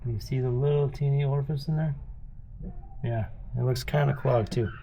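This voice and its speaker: A man with a raspy voice, patiently showing his son how to take apart and clean a carburetor, one step at a time. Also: mid-range voice, fairly even intonation, normal speed, warm tone, slight background noise, rough recording. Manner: patient, plainspoken, explains step by step. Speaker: dad teaching his son carb cleaning. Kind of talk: casual hands-on demonstration.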